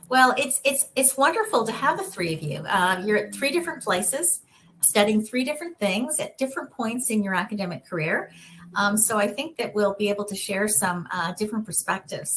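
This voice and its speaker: A woman's voice: mid-range voice, poor audio. Woman, moderating a video call, encouraging, faint background noise. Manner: warm encouraging interviewer, frequent affirmations. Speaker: panel moderator. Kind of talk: virtual panel moderation.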